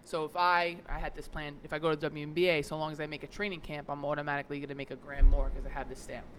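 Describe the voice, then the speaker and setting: A young adult woman chatting casually as a podcast guest, telling stories in an animated, quick way. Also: low-pitched, a little hiss or hum. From New York. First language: Italian. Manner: animated storyteller, quick asides.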